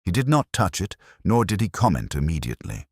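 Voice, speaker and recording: A man narrating a literary mystery story in a measured, formal way. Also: deep voice, big pitch swings, slightly rough, no room sound, clean studio sound.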